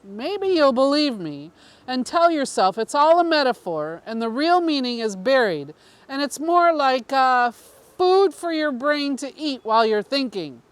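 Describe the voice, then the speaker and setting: Woman reading as a slow, confiding male politician. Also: high-pitched, very animated, dry recording, low-quality recording. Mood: persuasive. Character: smooth-talking, secretive male politician, confiding and persuasive. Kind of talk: audiobook character dialogue.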